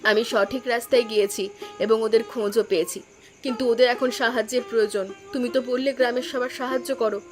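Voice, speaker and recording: A woman's voice: fairly high pitch, fairly even intonation, fast talker, close to an everyday voice. A woman voicing a kindly, forgiving middle-aged village auntie in a cartoon, with a motherly, caring manner. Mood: caring, resolute. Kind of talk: Bengali cartoon voice acting. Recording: music behind the voice.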